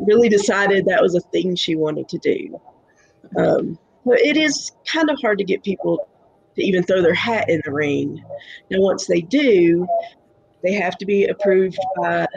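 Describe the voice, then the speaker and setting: A woman's voice: on the low side, vocal fry, darkish tone, slightly rough, no room sound. A woman guest on a video call panel with a stuffy, congested voice, explaining things in a friendly way. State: stuffy-nosed after sinus surgery. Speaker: panel guest, former officer. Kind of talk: casual panel talk. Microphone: webcam on video call. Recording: muffled video call audio.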